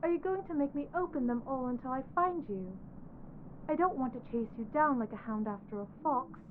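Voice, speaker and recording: A woman voicing a lovestruck, clingy undead corpse bride in an ASMR audio roleplay, soft, earnest and pleading. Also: high voice, a little fast, phone-quality sound, a little hiss or hum, lightly acted. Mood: coaxing, tender.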